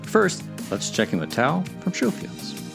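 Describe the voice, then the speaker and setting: A man's voice: lowish voice, wildly swooping pitch, a little slow, gravelly. A man hosting a podcast, reading a scripted introduction and then asking long winding questions that he corrects as he goes. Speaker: podcast host. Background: music under the intro.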